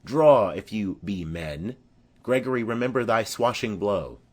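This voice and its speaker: A man's voice: lowish voice, animated, unhurried, a little mellow. A man playing a belligerent young servant, cocky and taunting, in a Shakespearean audiobook.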